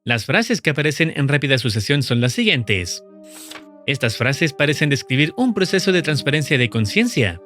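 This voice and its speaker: A man narrating in a steady, measured scripted voice-over, explaining the plot of a horror video series. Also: medium pitch. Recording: voice-over with faint music behind.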